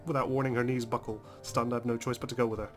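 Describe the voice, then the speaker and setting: A man's voice: mid-range voice, flat delivery, quick pace. A man hosting a let's play, reading visual novel narration aloud dramatically with casual, emotionally involved comments. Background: game music.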